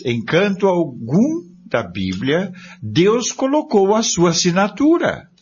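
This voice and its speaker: Slow-paced, expressive, earnest man played by an actor. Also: on the high side, heavily acted. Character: male study-group member, earnest, deliberate, polite. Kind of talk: scripted amateur radio drama, reading aloud. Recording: dull lo-fi recording, noisy hum.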